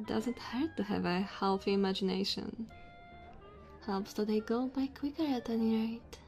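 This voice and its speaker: A woman's voice: medium pitch, dark tone. A woman playing a sassy, flirty diner waitress in an ASMR roleplay, teasing and chatty. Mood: friendly, playful.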